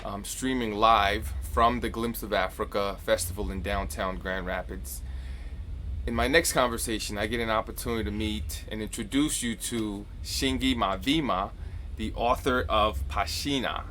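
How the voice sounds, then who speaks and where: A man's voice: on the low side, steady tone, normal speed, bright, forceful tone, slight background noise. A man hosting a live-streamed author interview, friendly and encouraging, with frequent short backchannel replies. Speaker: interviewer, host.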